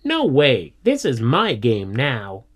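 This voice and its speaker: A man's voice: mid-range voice, huge pitch swings, slow pace, full character voice. A man voicing a spiteful schoolgirl in a storybook, snappy and defiant.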